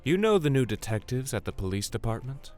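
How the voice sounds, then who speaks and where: A man's voice: sing-song, fairly quick, darkish tone, soft background music, lightly acted. A man playing a wry, century-old male vampire who talks conversationally in an audio drama. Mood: wry, confiding. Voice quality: low-pitched.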